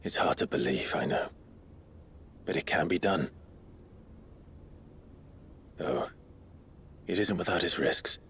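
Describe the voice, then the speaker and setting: A man playing a grave, gentle young hero in a video game, speaking in a measured, breathy near-whisper. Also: low-pitched, animated, slight background noise, heavily acted. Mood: grave, earnest. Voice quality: breathy, often half-whispered. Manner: solemn, measured. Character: gentle, grave young male hero bearing heavy burdens. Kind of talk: video game cutscene acting. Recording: music behind the voice.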